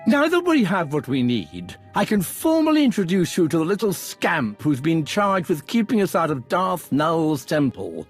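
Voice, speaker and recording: An actor playing an eager, fretful, well-mannered scholar in a video game, speaking in wordy, bookish phrases. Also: male voice, on the high side, very animated, normal speed, few pauses, slightly rough, faint music behind, full character voice. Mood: keen. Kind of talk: video game cutscene acting.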